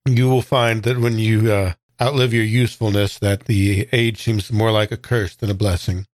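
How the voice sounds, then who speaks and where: A man's voice: fairly low pitch, level tone, normal speed, no echo, close to an everyday voice. A man voicing a courtly, world-weary old man with hesitant, formal, old-fashioned phrasing. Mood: grave, mild.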